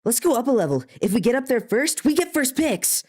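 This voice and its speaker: A woman's voice: fairly high pitch, very animated, fairly quick, a little mellow. A woman playing a restless, adventurous young trainee in an audio drama, with casual dialogue. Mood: eager.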